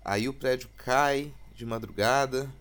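A man's voice: mid-range voice, measured pace, fairly bright tone, natural voice. A man with a Brazilian accent playing a weary, rattled man in a naturalistic horror audio drama. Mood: tired, casual.

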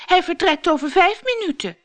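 A woman voicing a bossy, excitable young girl starfish for a children's storybook. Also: very high voice, moderate pace, voice pushed up. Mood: pleading, dismayed. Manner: exclaiming, impatient, emphatic.

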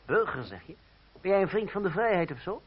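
Suave, highish-voiced gentleman adventurer. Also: very animated, a little fast. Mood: haughty. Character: self-assured gentleman adventurer, suave, ironic and condescending. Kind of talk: radio drama acting. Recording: muffled, noisy old radio recording.

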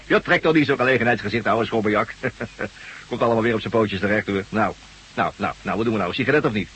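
An actor playing a jovial, loyal trucker pal, chummy and teasing, in a Dutch radio drama. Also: male voice, lowish voice, quick pace, fairly bright tone, husky, rough recording. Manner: hearty, familiar, teasing. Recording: faintly hissy old radio recording.

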